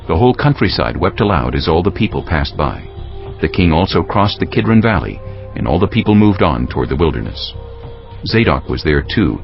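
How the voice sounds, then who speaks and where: A man narrating a dramatized scripture reading in a solemn, measured storytelling manner. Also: low-pitched, vocal fry. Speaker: narrator of a dramatized audio Bible. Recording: dull lo-fi audio with background music.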